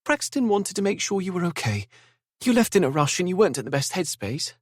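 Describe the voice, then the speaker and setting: A man doing a high, gushing young woman. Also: very animated, a little fast, no echo, clean studio sound. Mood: chatty. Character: young woman G, impulsive, gushing, easily tearful.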